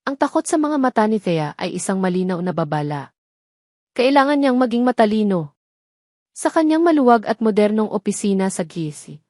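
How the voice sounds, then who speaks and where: A woman with a Filipino accent reading a romance story as a measured, dramatic narrator. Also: mid-range voice, a little mellow, no room sound, studio quality.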